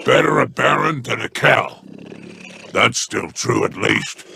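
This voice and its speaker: An actor playing a smug, scheming male alien crime boss in a video game, with a deep gravelly growl and a slow, mockingly polite manner. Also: pushed and bright, slight background noise, heavily acted. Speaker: merchant boss.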